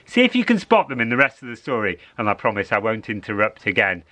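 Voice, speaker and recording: A man telling a story to children in an expressive, encouraging way, repeating phrases for dramatic suspense. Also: lowish voice, fast talker.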